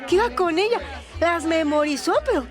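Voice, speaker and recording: High-voiced, giggly barroom waitress. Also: female voice, animated, normal speed, a faint crowd. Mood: worried, gossipy. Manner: colloquial, folksy, giggly, rambling. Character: chatty, giggly barroom waitress and aspiring singer. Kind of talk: radio drama acting. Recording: music bed behind the voice.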